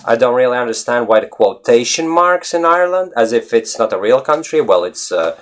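A man's voice: medium pitch, expressive, fairly quick, a bit bright. A man from Latvia, a native Latvian speaker with a Latvian accent, talking casually and emphatically to his online audience as an English-learning vlogger. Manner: emphatic, conversational, often checks with "you know what I mean". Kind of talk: casual explanatory talk to viewers.

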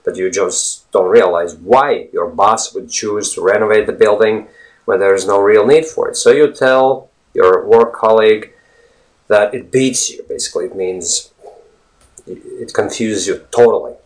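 Low-voiced man teaching English. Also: measured pace, poor audio. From Latvia. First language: Latvian. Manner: chatty, digressive, repeats and rephrases points. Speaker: English teacher and video host. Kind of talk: informal language lesson to camera.

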